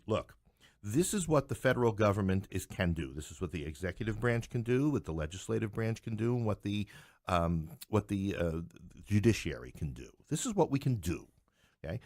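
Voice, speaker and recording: Low-voiced man explaining in halting phrases. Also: darkish tone, dry recording, clean studio sound. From New York City. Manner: halting, explanatory, repeats and rephrases himself. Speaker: podcast guest, playwright and English professor. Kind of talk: conversational political discussion.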